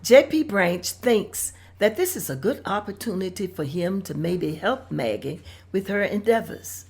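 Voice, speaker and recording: A woman's voice: medium pitch, expressive, unhurried, a little mellow, slightly rough. A woman reading out scene directions at a script table read in a plain, descriptive manner.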